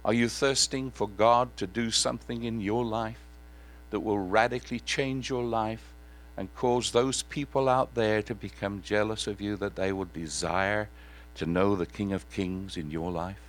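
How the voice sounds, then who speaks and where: A man preaching a sermon in church in a slightly croaky voice, asking earnest questions and singing parts of a hymn. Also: on the low side, fairly even intonation, a little slow, poor audio.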